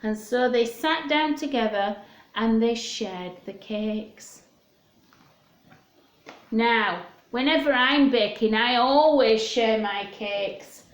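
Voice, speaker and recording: Slow-paced woman reading to young children. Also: a little high, echoey room. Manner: simple, expressive, addressing young listeners. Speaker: children's storyteller. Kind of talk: read-aloud storytime for young children.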